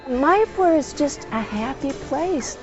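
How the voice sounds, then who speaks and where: A woman from Detroit speaks in a cheerful, animated way in a documentary interview. Music plays clearly beneath her, and the sound is slightly dull. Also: sits high, slow. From Detroit, USA. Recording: lo-fi with music bed.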